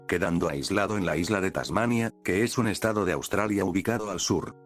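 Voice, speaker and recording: Deep-voiced male narrator over music. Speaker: narrator of an explainer video. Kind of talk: scripted documentary-style narration. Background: background music.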